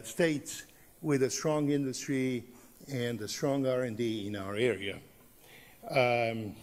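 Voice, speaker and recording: A middle-aged man with a Serbian accent addresses a conference audience in English, his native tongue being Serbian. He talks at a measured pace in a medium-pitched voice, pausing often with "uh." His voice carries the long reverb of a big hall. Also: darkish tone. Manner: unhurried, frequent uh fillers, plain non-native phrasing. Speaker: professor giving a conference keynote. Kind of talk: conference lecture.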